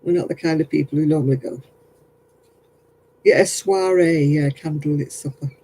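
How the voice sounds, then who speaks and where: A man's voice: a little high, moderate pace, mellow, rough recording. A man chatting casually with viewers while crocheting on a livestream, self-mocking and laughing often. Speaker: craft livestream host.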